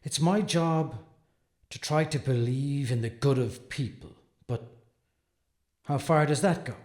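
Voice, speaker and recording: A man's voice: mid-range voice, a little mellow, close to an everyday voice. Man playing a troubled defense attorney, echoey room. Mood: brooding. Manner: deliberate, pausing, brooding. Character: earnest, troubled male defense attorney wrestling with doubt. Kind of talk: dramatic scripted monologue. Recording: echoey, roomy sound.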